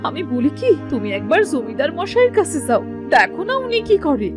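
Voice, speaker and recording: A woman's voice: high voice, very animated, a little fast, music in the background. A woman voicing a caring, anxious village wife and mother in a cartoon story, coaxing as she speaks. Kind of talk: Bengali cartoon story voice acting.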